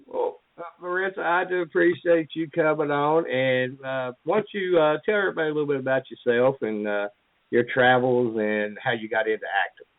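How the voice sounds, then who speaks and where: A man hosting a casual interview, eager and lively as he asks his guest questions. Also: medium pitch, fairly quick, no room sound. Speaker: interview host. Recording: tinny phone line.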